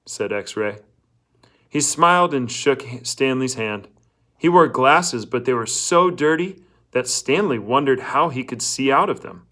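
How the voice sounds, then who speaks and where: A man's voice: mid-range voice, fairly bright tone. A man reading a novel aloud as a scripted story narrator.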